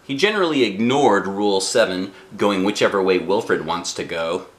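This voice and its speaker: A middle-aged man reads a story aloud with a widely swinging, animated delivery. He is playful and expressive, stepping out of the text for chatty asides and questions, as a dad does with a child. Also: low-pitched, moderate pace. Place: small living room indoors. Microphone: camera a short distance away.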